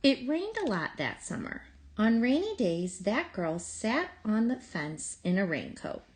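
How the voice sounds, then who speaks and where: A woman reading a children's story aloud in an expressive, deliberate way with pauses between phrases. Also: medium pitch, slightly rough. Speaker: storybook reader.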